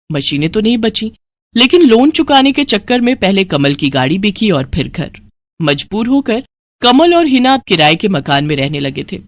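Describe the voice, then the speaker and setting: A woman's voice: mid-range voice, background noise. A woman narrating a scripted moral story as a storyteller.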